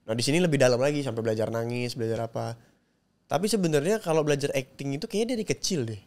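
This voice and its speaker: A man in his twenties with a strong Balinese accent, an actor answering as a guest in a casual chat, easygoing and quick to laugh. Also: mid-range voice, animated, darkish tone. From Bali, Indonesia. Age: 20s. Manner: easygoing, laughs often, short replies.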